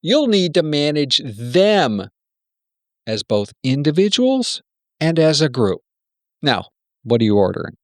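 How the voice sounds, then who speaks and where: A man's voice: a little high, sing-song, a little slow, long pauses, dry recording, clean studio sound. A man playing a wary, self-protective senior executive in an amateur radio play, talking in a blunt, cautioning, persuasive way. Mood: guarded, advising.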